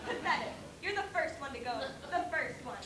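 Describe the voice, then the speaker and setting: A young woman acting on a school stage as a brisk, scornful news co-anchor presenting the end of the world comically. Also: high voice, expressive. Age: teenager to young adult. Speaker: student actor in a school play. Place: school stage before an audience. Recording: distant echoey stage recording, noisy.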